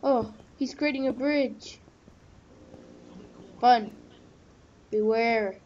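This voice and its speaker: A gamer chatting casually in character during a Minecraft roleplay. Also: drawn-out words. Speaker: player in a gaming video. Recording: muffled low-grade mic with loud noise.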